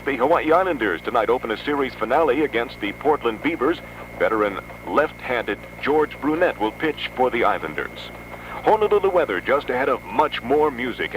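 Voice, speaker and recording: Noisy 1970s radio newsman, formal and measured. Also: mid-range voice. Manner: formal, measured announcer delivery. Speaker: radio news anchor. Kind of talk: 1970s radio news bulletin, scripted. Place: radio studio.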